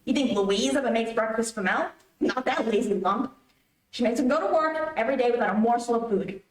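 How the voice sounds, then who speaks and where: Female actor as whiny, nagging wife, old-time radio comedy. Higher voice, distant from the mic. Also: brisk, natural voice. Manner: whiny, reproachful. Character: nagging, self-pitying wife in a bickering comedy marriage. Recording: echoey, distant streamed stage recording.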